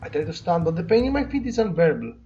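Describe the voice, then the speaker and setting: A man reads visual novel narration aloud as a let's play co-host, his pitch moving up and down freely. He drops into casual commentary between passages. The audio is slightly muffled, with game music faintly behind him. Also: sing-song, racing, mellow. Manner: corrects co-player's words.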